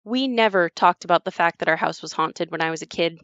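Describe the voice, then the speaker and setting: Actress as a woman recalling her haunted childhood, reflective audio play monologue, measured, really dry close sound. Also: fairly low pitch, a bit bright, no echo, highs cut off. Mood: reflective, confiding.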